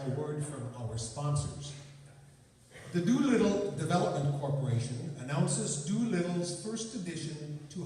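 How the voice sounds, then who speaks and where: A man's voice: a little high, dark tone. A man reading scripted old-time sponsor announcements as the announcer of a radio play. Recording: echoey hall from far off, faint noise.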